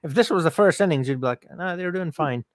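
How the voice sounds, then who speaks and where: A man's voice: a little high, lively intonation, fast, no echo, studio quality. A man co-hosting a cricket watchalong stream, chatting casually, rambling and correcting himself, laughing often. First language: not Urdu. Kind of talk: casual chat over a live stream.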